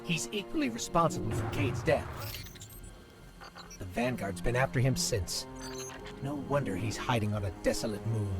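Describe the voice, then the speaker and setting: An actor playing a brisk, concerned robot companion who gives urgent mission dialogue in a game. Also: male voice, medium pitch, expressive, lightly acted. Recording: music behind.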